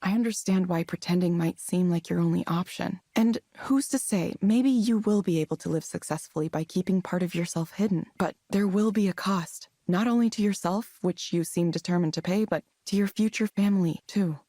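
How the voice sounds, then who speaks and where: An actress playing an earnest, caring counselor in a video game, speaking in a measured, gentle way. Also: female voice, lowish voice, no room sound, studio quality. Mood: earnest, pleading.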